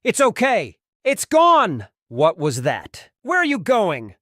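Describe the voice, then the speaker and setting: A woman's voice: mid-range voice, wildly swooping pitch, slow pace, a bit bright, no room sound, studio quality, full character voice. A woman voicing a worried, commanding father in an audiobook drama. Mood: alarmed, confused.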